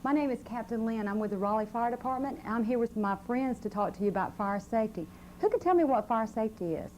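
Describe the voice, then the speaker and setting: A woman's voice: on the high side, expressive, quick pace, warm tone, rough recording. A woman firefighter giving a simple safety talk to children, prompting them with questions, on an old VHS recording. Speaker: firefighter, fire safety educator. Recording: old VHS, faint room noise.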